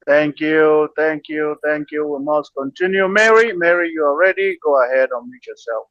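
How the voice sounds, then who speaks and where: A man briskly moderating a group discussion and handing over to speakers. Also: a little high, fairly bright tone, no room sound. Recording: dull, dry online call audio.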